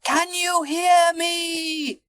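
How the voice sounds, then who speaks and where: A woman's voice: low voice, very animated, unhurried, a bit bright, breathy edge, pitched above the performer's own voice, exaggerated voice. A woman voicing a timid, polite, hesitant male character in a children's storybook. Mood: calling out.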